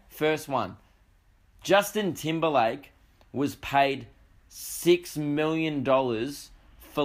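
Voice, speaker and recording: A young Australian man hosting a podcast, talking in a croaky voice with a sweary, jokey, rambling manner. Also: medium pitch, a bit bright. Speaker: podcast host. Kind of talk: casual comedic monologue.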